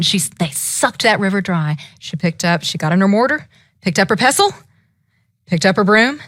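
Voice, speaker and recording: A woman tells a fairytale to live listeners with dramatic emphasis. Her mid-pitched voice swings widely and expressively, and the sound is close and dry. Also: fairly bright tone, dry recording. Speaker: storyteller. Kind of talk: live interactive fairytale telling.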